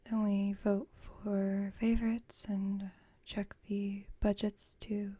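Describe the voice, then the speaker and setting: A woman plays a female vampire roommate in an intimate ASMR roleplay. Her voice is a soft, breathy whisper with a dark, mellow tone. She chats at a slow, unhurried pace with gentle wry exasperation. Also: medium pitch, level tone. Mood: fond, musing. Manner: unhurried, conversational, lightly wry. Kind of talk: ASMR roleplay, intimate one-sided chat.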